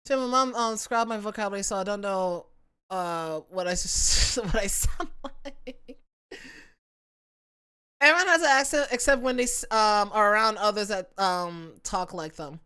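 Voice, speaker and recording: A woman's voice: medium pitch, normal speed, pushed and bright, clear, resonant tone. A young adult woman with a Southern American accent mixed with internet influences, chatting casually as a livestreamer in a playful, giggly, self-mocking way from her home setup. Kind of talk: casual stream chat.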